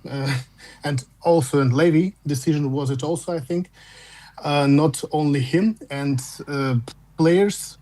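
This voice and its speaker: A man with a Russian accent, calling in to a fan show, speaking English as a second language in halting phrases with frequent restarts. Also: a little high, mellow. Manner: halting, repeats words and restarts while searching for English. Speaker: caller. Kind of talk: phone-in fan chat.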